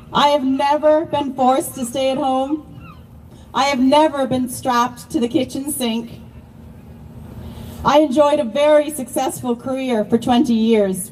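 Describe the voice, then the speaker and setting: A woman with a high voice and a non-native accent delivers a prepared, declamatory speech at a rally. The voice sits back from the microphone, with a faint hum of outdoor noise behind it. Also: a little mellow, far from the mic, poor audio. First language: not born in Ireland, long resident. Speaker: rally speaker.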